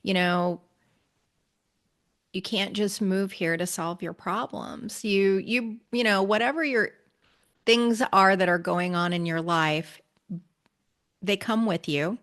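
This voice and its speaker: A woman's voice: medium pitch, steady tone, takes it slowly, long pauses, fairly bright tone. An American woman hosts a podcast conversation in an ordinary mid-range voice. She speaks thoughtfully and agreeably, leaning on fillers as she reflects. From United States.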